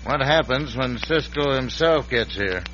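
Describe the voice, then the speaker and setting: An American actor playing a cautious, corruptible small-town lawyer with a measured, folksy manner in a radio western. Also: male voice, mid-range voice, expressive, fairly bright tone. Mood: calculating. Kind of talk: old-time western radio drama acting. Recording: dull, faintly noisy old radio recording.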